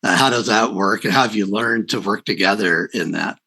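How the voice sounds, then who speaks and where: A man's voice: on the low side, brisk, pushed and bright, breathy edge. A man hosting a conversational interview, asking reflective questions and following along attentively. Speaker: interview host.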